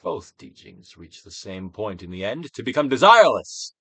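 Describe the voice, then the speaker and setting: Deep-voiced man in dramatic, sweeping recitation. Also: very animated, normal speed, a bit bright, no room sound. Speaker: actor reading a book aloud. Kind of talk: dramatic audiobook reading, theatrical Shakespearean delivery.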